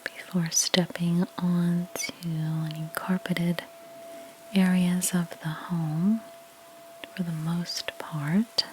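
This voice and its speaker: Softly spoken asmr lady, breathy and super slow, explaining stuff gently, noticeable background noise. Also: on the low side, steady tone, rough recording. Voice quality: soft, breathy, whispery. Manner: gentle, unhurried explaining with pauses. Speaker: ASMR creator playing a house cleaner.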